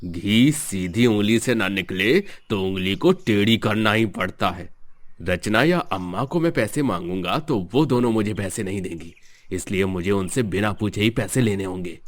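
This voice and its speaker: An actor playing a greedy, scheming drunkard husband in a melodramatic cartoon, sneering and threatening as a villain. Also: male voice, lowish voice, normal speed, natural voice. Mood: sly, scheming.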